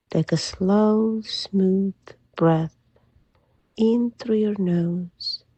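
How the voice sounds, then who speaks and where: A woman leading a guided sleep meditation in a soft, soothing, gentle voice that often drops to a breathy near-whisper. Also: mid-range voice, no room sound. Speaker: hypnotherapist guide.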